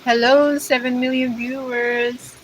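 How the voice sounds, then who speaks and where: Halting Filipino woman chatting on a livestream. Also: fairly high pitch, level tone, slight background noise, low-quality recording. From Philippines. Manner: hesitant, halting English with many fillers. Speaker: vlogger hosting a livestream. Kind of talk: casual livestream chat reading viewer comments.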